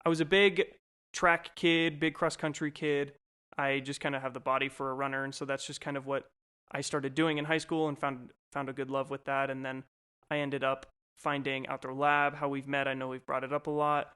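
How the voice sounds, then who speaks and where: Young male co-host, twenties, smooth clear voice, relaxed rambling chat. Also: mid-range voice, normal speed. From Colorado, USA. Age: 20s. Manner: rambling, easygoing, lots of fillers. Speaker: podcast co-host. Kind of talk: casual chat.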